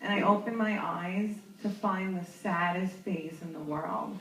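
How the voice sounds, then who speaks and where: A woman's voice: fairly low pitch, fairly even intonation, measured pace, a bit bright, slight background noise. A young woman from New York doing stand-up, telling a self-mocking story in a chatty way and laughing through her punchlines. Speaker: stand-up comedian. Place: comedy club stage. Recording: roomy club, mic from a distance.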